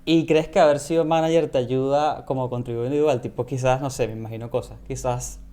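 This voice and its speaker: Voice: medium pitch, fairly even intonation, fast, dark tone. A podcast co-host chatting casually with a guest, often chiming in with short affirmations. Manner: frequent short affirmations, friendly back-channeling. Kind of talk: casual conversational interview.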